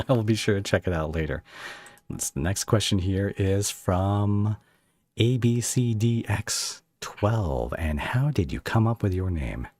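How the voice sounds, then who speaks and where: A man's voice: fairly low pitch, animated, measured pace, a little mellow, no room sound. A man hosting a casual interview podcast, chatting and teasing his guest in a bantering way.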